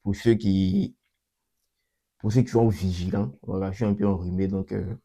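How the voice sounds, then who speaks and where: Low flat-voiced man with a cold. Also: normal speed, slightly rough. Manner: repeats himself, many fillers and restarts. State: has a cold, voice a bit off. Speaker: channel host. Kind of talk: casual screen-recorded coding walkthrough.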